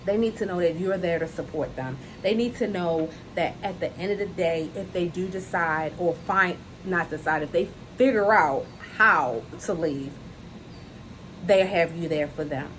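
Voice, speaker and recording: A woman's voice: lowish voice, normal speed, fairly bright tone, a little hiss or hum, poor audio. A woman speaking as a self-love coach, giving an earnest, encouraging talk to camera and repeating her key phrases. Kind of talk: heartfelt motivational talk to camera. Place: outdoors on a hot day.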